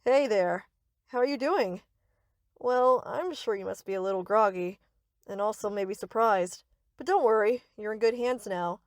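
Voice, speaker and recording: A woman's voice: mid-range voice, normal speed, no echo, clean studio sound, lightly acted. A woman playing a sweet, reassuring but possessive young yandere best friend in an anime-style audio roleplay, coaxing and doting.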